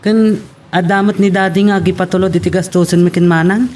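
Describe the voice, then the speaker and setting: A man's voice: sits high, fairly even intonation, a little fast, darkish tone, slight background noise, close to an everyday voice. A man playing a pleading son talking to his mother in an amateur Ilocano drama. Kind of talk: Ilocano radio-style family drama acting.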